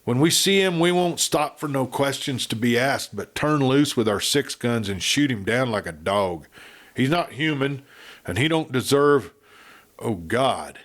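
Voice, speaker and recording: A man's voice: mid-range voice, animated, measured pace, a bit bright, voice pushed up. A man voicing a cowardly fat sheriff in a Western story, whining and pleading in frontier dialect. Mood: whining bluster. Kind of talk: Western audiobook character acting.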